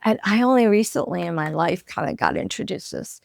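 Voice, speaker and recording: A woman in her fifties with a raspy, gravelly voice, co-hosting a frank, casual chat from bed, blunt and laughing at herself. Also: fairly low pitch, lively intonation, brisk, no echo. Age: 50s. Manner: blunt, laughing, self-teasing. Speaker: podcast co-host. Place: in bed at home.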